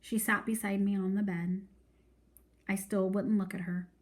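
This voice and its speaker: A woman's voice: mid-range voice, level tone, normal speed, darkish tone. Woman reading a story aloud expressively. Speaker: reader of a weekly read-aloud.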